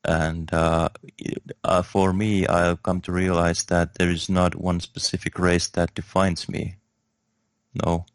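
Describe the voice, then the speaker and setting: A man from Finland, a guest in a spiritual interview, speaking slowly and hesitantly with many fillers and restarts. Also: deep voice, no room sound.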